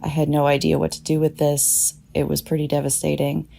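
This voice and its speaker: A woman speaks in a sober, steady way. Her voice is very low, flat and gravelly, with a dark tone. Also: a little fast. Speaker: listener sending a message.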